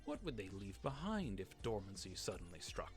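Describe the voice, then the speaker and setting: Man voicing a mournful fantasy game narrator, male, creaky, grave, measured, solemn, music behind. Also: low-pitched, very animated. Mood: solemn wonder. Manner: solemn, measured, hushed at times. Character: grave, mournful storyteller of an ancient fantasy world. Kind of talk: slow dramatic reading of fantasy game text.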